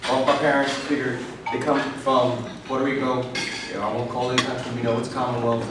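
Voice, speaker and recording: A man's voice: mid-range voice, little pitch movement, a little fast, far from the mic, background noise. Man speaking in an echoey hall. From New York, United States. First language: English. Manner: rambling, with frequent "you know" fillers. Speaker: keynote speaker. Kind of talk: conversational keynote speech. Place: large convention hall.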